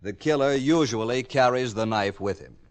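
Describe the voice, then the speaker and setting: An American man playing a detached, measured doctor who profiles criminals in a 1950s radio drama. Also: medium pitch, lightly acted. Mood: clinical, detached. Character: clinical male doctor profiling a killer. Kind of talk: 1950 radio drama acting.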